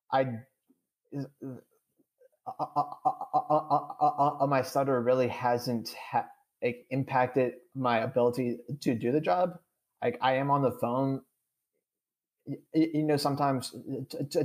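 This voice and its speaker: A man's voice: medium pitch, darkish tone. Young man who stutters heavily. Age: young adult. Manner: stutters, with many repeated sounds and drawn-out "uh"s. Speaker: podcast guest. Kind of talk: candid interview answers.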